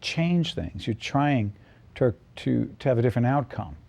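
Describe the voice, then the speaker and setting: A man's voice: mid-range voice, lively intonation, moderate pace, vocal fry, darkish tone. An American man hosting an interview, asking direct questions and pressing his guest for clarification. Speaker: interview show host. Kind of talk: probing television interview.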